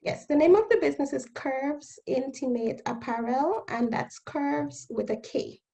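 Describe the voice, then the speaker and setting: Guyanese woman with a gravelly voice. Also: high-pitched, animated, measured pace, mellow. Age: 30s. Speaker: guest entrepreneur. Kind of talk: radio interview.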